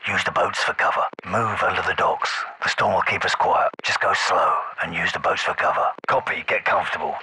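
An actor voicing a gruff British special forces captain in a video game, giving clipped, commanding orders in a gravelly voice. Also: male voice, sits low, fairly quick, hardly any pauses, bright, forceful tone, slight background noise, low-quality recording. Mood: calm, hushed command. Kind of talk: video game battle chatter.

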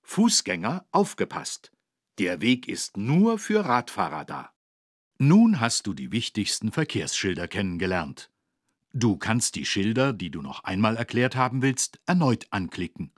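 A man with a low, husky voice narrates for young children. His delivery is lively and encouraging, carefully phrased, and recorded in a dry studio. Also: a little slow, no echo. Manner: clearly phrased, encouraging, animated. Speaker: narrator of a children's learning game.

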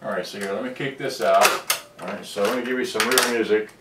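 A man's voice: fairly low pitch, fairly even intonation, fast talker. An American man, middle-aged, giving a casual, unscripted demonstration, rambling to himself as he works at a bench. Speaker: vintage cassette player repairer and seller. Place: cluttered indoor workbench. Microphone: camera mic at a distance. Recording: roomy, distant camera mic, noisy, clicks.

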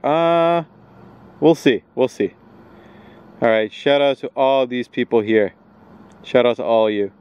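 A man's voice: medium pitch, darkish tone, clean tone, dull audio. A man in his twenties vlogging to his viewers, upbeat and hyped, filming himself outdoors in the woods at arm's length. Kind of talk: energetic vlog shout-outs and hiking commentary. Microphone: handheld camera at arm's length.

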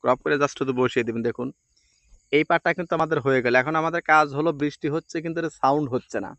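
A man walks listeners through an on-screen task in an informal way. He talks quickly and repeats his pointers as he goes. The recording is close and dry. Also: on the high side, a bit bright, no room sound. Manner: repetitive, instructional phrasing. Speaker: video editing tutorial presenter. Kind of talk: informal step-by-step screen tutorial.

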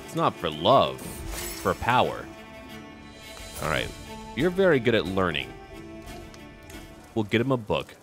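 A man with a deep voice narrates his strategy game as a roleplay. His pitch moves around expressively as he muses aloud in short, clipped remarks. Faint music plays behind him. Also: huge pitch swings, measured pace, pauses often, a bit bright. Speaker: gaming video host.

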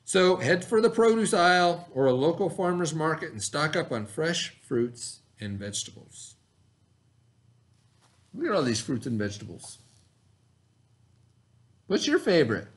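A middle-aged American man, an elementary teacher, reading a lesson aloud to children in an expressive, instructional manner. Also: on the high side. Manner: expressive, instructional, pauses to ask the listener questions. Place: small indoor classroom, at a desk.

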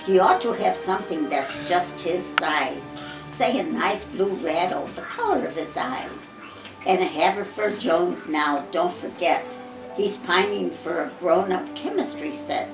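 An American woman in her eighties reads a Christmas poem aloud to family, measured and expressive, with the odd stumble. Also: fairly low pitch, creaky. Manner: measured, expressive storybook reading with occasional stumbles. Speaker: grandmother reading to family. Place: living room at home. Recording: roomy home recording with music behind.

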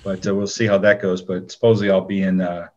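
Laid-back guy being interviewed, lowish voice, kinda dull lo-fi sound. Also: flat delivery, fast. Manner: low-key, laid-back. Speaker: guest, actor. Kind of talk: casual interview chat.

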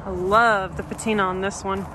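A woman's voice: mid-range voice, normal speed, noisy background. A woman, gushing casually over old cars outdoors on a phone camera. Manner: excited, gushing. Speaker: vlogger. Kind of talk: casual vlog narration. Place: outdoor junkyard.

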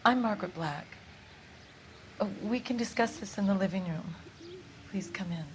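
A woman's voice: mid-range voice, moderate pace, a little hiss or hum, lightly acted. A woman acting as a worried, polite 1940s housewife in a video game, speaking in a proper, emotive way. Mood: anxious, polite.

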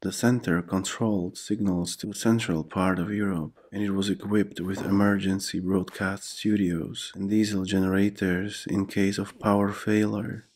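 A man giving scripted documentary narration in a measured, even delivery. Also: sits low.

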